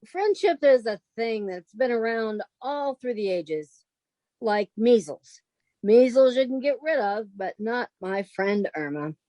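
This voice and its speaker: A woman plays a level-headed, long-suffering roommate in a vintage radio comedy. Her voice sits fairly high and sounds close and dry. She speaks with deliberate, wry patience. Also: lively intonation, dark tone, no room sound. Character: sensible, exasperated woman roommate, wry narrator of the show. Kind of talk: old-time radio comedy acting.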